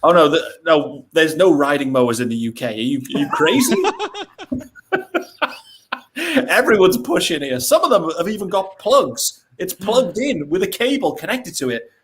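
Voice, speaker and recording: Chatty man, brisk and upbeat. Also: fairly high pitch, sing-song, fairly bright tone. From Wales. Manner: cheerful, chatty, quick asides. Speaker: podcast guest. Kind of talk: casual chat.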